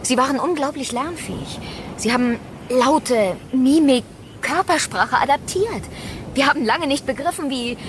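An actress playing a guilt-ridden geneticist and mother, speaking earnestly and often dropping into hushed tones. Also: high voice, normal speed, background noise, lightly acted. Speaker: scientist. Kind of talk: radio play acting.

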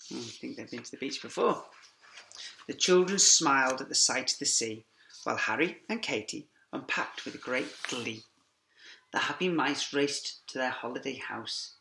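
A middle-aged man teacher reading a rhyming picture book aloud to young children in a lively sing-song way, with quiet asides to them, filmed on a laptop webcam in a classroom. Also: on the high side, creaky, slight background noise. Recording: echoey classroom.

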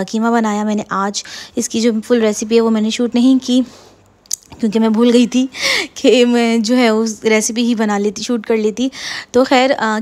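Chatty woman narrating her kitchen vlog. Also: fairly high pitch. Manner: chatty, rambling, with frequent fillers and soft laughs. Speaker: vlogger. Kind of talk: casual voice-over narration of a cooking vlog. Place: home kitchen.

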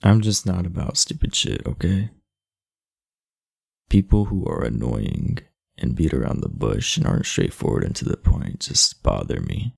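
A man playing an aloof, irritable, sleepy teenage delinquent in an ASMR roleplay, blunt, sardonic and guarded, with a low, gravelly voice. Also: wildly swooping pitch, normal speed, darkish tone, no echo, studio quality, heavily acted. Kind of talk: ASMR roleplay, speaking to the listener.

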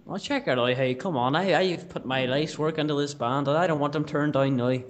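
Quick-talking Northern Irish man, slightly high voice, Derry accent; cheeky prank caller with a mock-indignant edge. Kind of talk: mock-serious prank phone call. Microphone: telephone call.

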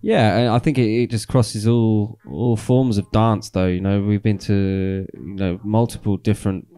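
A man in his thirties co-hosting a radio show, chatting in a relaxed way about dance music in a small studio, talking into a handheld mic. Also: fairly low pitch, moderate pace, smooth. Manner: relaxed, laughs often, rambling with restarts.

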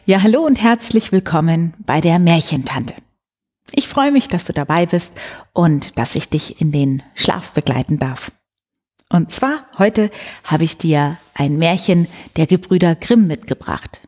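A woman in her forties hosting a bedtime show, guiding the listener gently and soothingly with a soft, breathy voice. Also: fairly low pitch, animated, unhurried. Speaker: host of a bedtime story show. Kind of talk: guided sleep meditation, soft bedtime storytelling. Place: indoor room beside a wood stove. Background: soft music.

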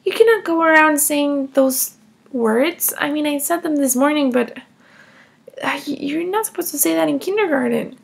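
A woman plays a flustered mother in a comedy skit who keeps pleading and backpedaling. Her voice sits high with a gravelly fry. It sounds worn and frazzled. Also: normal speed. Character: flustered mother of a young girl. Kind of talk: comedy skit role-play.

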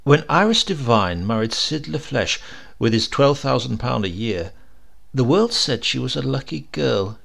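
A man's voice: medium pitch, expressive, a little slow, gravelly, fairly bright tone. A man narrating a horror story as a dramatic audiobook reading.

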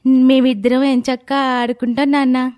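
An excitable little daughter acted by a woman in a storybook cartoon. The voice is high and childlike, with a chirpy, eager manner. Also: normal speed, clean tone, close to an everyday voice. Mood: excited. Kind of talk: animated storybook character acting.

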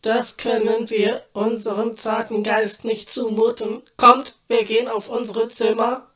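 Woman playing a flat, pompous young wooden puppet. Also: fairly high pitch, measured pace. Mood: lofty, bored. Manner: pompous, stilted intellectual delivery. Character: lazy, lofty young wooden puppets philosophizing. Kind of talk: comedy audio drama acting.

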